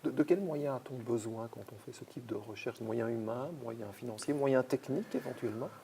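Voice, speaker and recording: A man's voice: medium pitch, a little fast, vocal fry, warm tone, a little hiss or hum. A man hosting an interview, asking questions attentively and affirming often. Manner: attentive, frequent backchannel affirmations. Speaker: interviewer host. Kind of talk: institutional interview.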